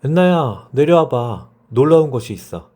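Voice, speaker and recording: A man's voice: mid-range voice, sing-song, normal speed, mellow, pitched above the performer's own voice. A man voicing a cheerful, kindly father in a children's bedtime story. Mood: calling out happily.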